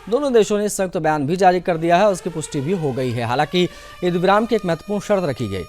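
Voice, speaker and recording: A man's voice: a little high, darkish tone, a little hiss or hum. A man presenting television news with commentary, in the role of anchor.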